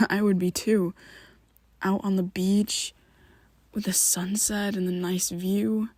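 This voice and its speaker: A woman's voice: medium pitch, level tone, slow, a little mellow, no echo. A woman voicing a young male vampire best friend in an ASMR roleplay, who talks hesitantly and half-whispers with nervous stammers. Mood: chatty.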